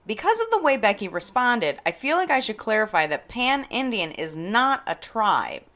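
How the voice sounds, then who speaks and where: A woman's voice: on the high side, big pitch swings, normal speed, fairly bright tone. A woman hosting a podcast, reading scripted educational narration with careful explanation and a wry, playful edge. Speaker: podcast host.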